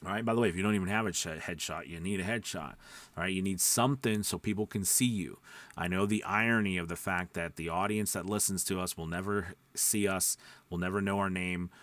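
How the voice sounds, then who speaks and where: A man's voice: low-pitched, fairly even intonation, fairly quick, fairly bright tone, no echo. A man coaching in an informal, conversational way, emphatic and rambling, often checking in with short questions. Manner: rambling, self-interrupting. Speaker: voice-over coach and mentor.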